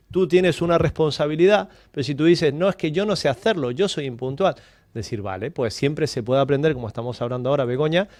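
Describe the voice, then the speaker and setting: A man's voice: a little high, a little mellow, dry recording. A Spanish-born man on a radio talk panel, explaining time management through stories and questions. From Spain. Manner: explanatory, storytelling, builds points with questions. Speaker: guest coach on time management. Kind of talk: radio talk-show discussion.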